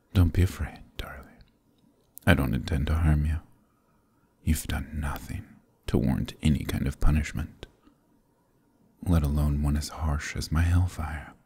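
Brief: man playing a flirtatious demon prince, very deep slow murmur, husky breathy close-mic half-whisper, teasing and commanding. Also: mellow, exaggerated voice. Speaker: voice actor in audio roleplay. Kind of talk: ASMR roleplay, close intimate murmur.

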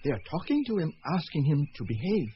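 A man's voice: a little high, big pitch swings, fast talker. A man playing a nervous, corrupt village official in a radio drama, hesitant and formally polite. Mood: wary. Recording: dull, noisy old radio recording.

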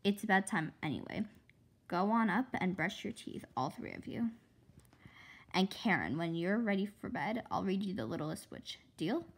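A woman's voice: mid-range voice, very animated, moderate pace, breathy edge. A woman reading a children's book as a sensible, sceptical thirteen-year-old girl babysitter, matter-of-fact and soothing. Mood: firm, kind. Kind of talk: children's book read-aloud.

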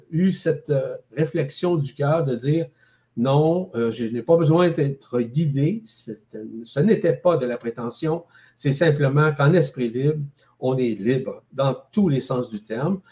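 An older man with a Québécois accent, a guest on a video call, talking slowly with many hesitations about his spiritual life. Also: medium pitch, warm tone. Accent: Québécois French. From Quebec City, Canada. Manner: slow, measured, many hesitations. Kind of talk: spiritual interview talk. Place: home room with plants.

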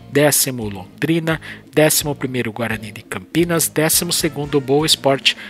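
A man's voice: medium pitch, a bit bright. A man with a Brazilian accent hosting a sports program, methodically reading scripted standings and fixtures in an announcer's manner. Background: background music.

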